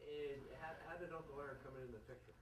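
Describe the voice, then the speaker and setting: A man's voice: on the high side, rapid-fire. A man interviewing his father, asking hesitant, prompting questions in a casual family conversation. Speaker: interviewer, the speaker's son. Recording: echoey, far from the mic, crowd noise.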